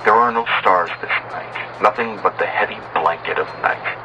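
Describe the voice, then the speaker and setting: A man narrating a horror tale in the first person as a doomed, despairing sailor, grave and deliberate, with dramatic pauses. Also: on the low side, highs cut off. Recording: clearly noisy bed of ambient sound.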